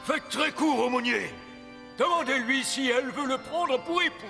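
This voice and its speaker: Bossy fairy-tale king, very high voice, stubborn, declamatory, long hall reverb, music underneath. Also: moderate pace, creaky, bright, forceful tone, full character voice. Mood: pompous, decisive. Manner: commanding, declamatory. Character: imperious, stubborn old king, exasperated father of a princess. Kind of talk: dubbed children's fairy-tale cartoon acting.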